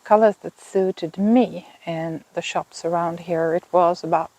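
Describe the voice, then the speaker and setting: A woman in her fifties from Finland, a native Swedish speaker with a Finland-Swedish accent, hosting a knitting podcast in a casual, thoughtful way and pausing often to find her words. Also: on the low side, steady tone, warm tone, rough recording. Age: 50s. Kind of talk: casual chat to camera. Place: outdoors in a home garden.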